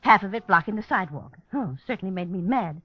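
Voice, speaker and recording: An actress playing an indignant, chatty, emphatic neighborhood witness in 1950s radio drama style. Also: female voice, medium pitch, expressive, fast, breathy edge, dry recording. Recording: dull 1950s radio recording.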